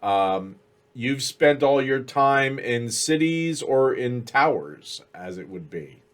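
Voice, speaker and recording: A man with a cold, narrating a tabletop game as dungeon master in a slow, measured way. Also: mid-range voice, lively intonation. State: has a cold.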